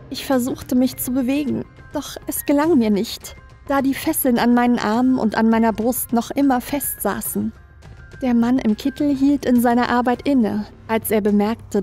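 A woman's voice: a little high, warm tone. A woman reading scripted first-person horror narration as a creepypasta narrator. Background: background music.

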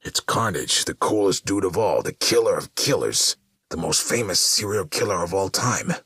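Man playing awed, rough-voiced convict. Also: sits low, normal speed, no room sound, clean studio sound, pitched above the performer's own voice, heavily acted. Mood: hushed admiration. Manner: excited, slangy, awed. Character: awestruck male serial killer in a prison mob.

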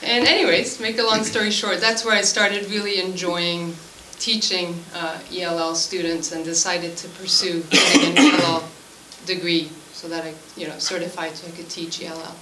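A woman's voice: lowish voice, level tone, moderate pace, hardly any pauses, bright, forceful tone. Echoey, distant Swiss-born French-speaking woman teacher. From Switzerland. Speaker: English language teacher. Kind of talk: informal self-introduction at a public meeting. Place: school room at a committee meeting, indoors. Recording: echoey room, distant mic, background noise.

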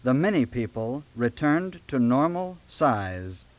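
A man narrating a children's read-along book in a measured, deliberate storytelling style with dramatic emphasis, on a late-1970s audio cassette. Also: medium pitch, sing-song.

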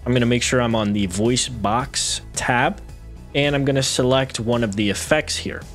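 A man's voice: medium pitch, moderate pace, a bit bright. Man presenting a tutorial over music. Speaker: video tutorial host. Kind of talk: software tutorial to camera. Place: small room indoors at a desk. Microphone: close desk microphone with headset on.

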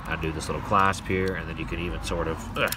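A very low-voiced man talks through his gear in a loose, rambling way, often trailing off with "uh." He sounds set back from the mic, and a clear hum of outdoor noise sits behind him. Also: little pitch movement, quick pace, distant mic. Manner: rambling, enthusiastic, frequent fillers. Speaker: gear reviewer. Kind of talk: casual show-and-tell. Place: outdoor wooden deck. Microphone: overhead camera on hands, outdoors.

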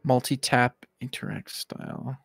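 A man's voice: mid-range voice, steady tone, a little slow, gravelly, fairly bright tone, breathy edge, dry recording, clean studio sound, faint music behind. A man chatting casually as he co-commentates on a video game stream.

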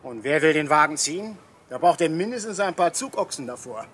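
A jovial, higher-pitched friendly policeman. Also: expressive, a little fast, fairly bright tone, breathy edge. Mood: jovial teasing. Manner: teasing, jovial. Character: friendly, jovial policeman, a grown-up pal of children. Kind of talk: children's radio play acting.

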